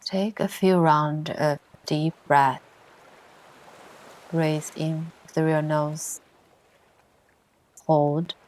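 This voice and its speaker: A woman narrating a guided meditation in a soothing, gentle way, with long pauses between short instructions. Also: low voice, fairly even intonation, rough recording. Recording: ocean surf sounds behind the voice. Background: ocean waves ambience.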